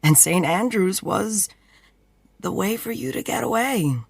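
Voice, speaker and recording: A young woman acting in an audio drama as a protective twin sister, speaking earnestly and softly. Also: mid-range voice, animated, unhurried, gravelly, slightly rough, no echo, exaggerated voice. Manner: earnest, soft-spoken, emotional. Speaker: twin sister of a vampire fighter.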